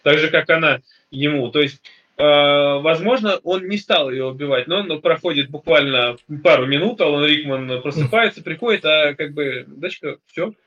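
A man's voice: on the high side, normal speed, pushed and bright. A man in his early thirties casually discussing a film as a podcast co-host, blunt and colloquial, in slightly dull lo-fi sound. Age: early 30s.

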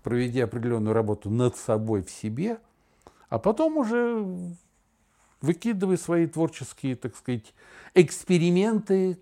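Mellow, higher-pitched mature man, opinionated. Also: very animated, normal speed. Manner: opinionated, mock-lecturing, wordplay. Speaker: podcast co-host, father talking with his daughter. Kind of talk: casual podcast chat.

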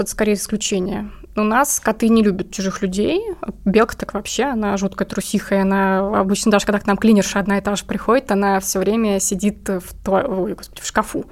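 Female interviewee, medium pitch, informal conversational storyteller. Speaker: interviewed comic artist and cat owner.

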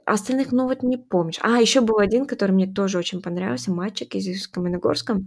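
Chatty woman telling lively anecdotes. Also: mid-range voice, fast, vocal fry. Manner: animated storytelling, acting out what others said. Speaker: podcast co-host. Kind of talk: casual podcast chat.

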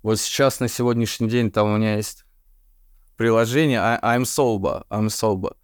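Slangy baritone man, dry close mic. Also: fairly even intonation, moderate pace, dry recording. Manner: slangy, swearing storyteller, laughs often. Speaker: podcast guest, rapper. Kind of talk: casual chat.

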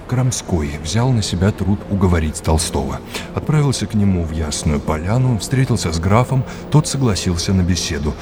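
An actor playing a courteous, erudite art lecturer in an audio drama, with an old-fashioned, didactic way of talking. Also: male voice, sits low, noisy background.

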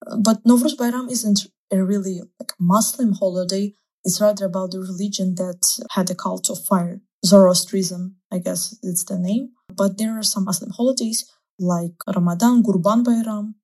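A woman's voice: medium pitch, fairly even intonation, normal speed, a little mellow, low-quality recording. A young woman from Azerbaijan whose first language is Russian, chatting casually as an interviewee and laughing often.

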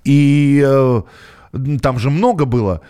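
A man hosting a casual radio talk show, halting and searching for words as he chats and reads out listeners' messages. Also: mid-range voice, mellow, clean tone.

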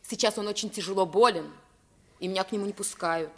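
A woman's voice: mid-range voice, fast talker, close to an everyday voice. A woman playing a sheltered, self-assured young prince who is curious and genteel, in a radio play. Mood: confiding, curious. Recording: vintage 1950s radio play recording.

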